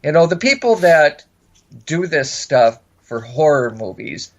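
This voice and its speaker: High-pitched man on dull lo-fi audio. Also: big pitch swings, a little slow. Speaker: podcast co-host. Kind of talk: casual film review chat.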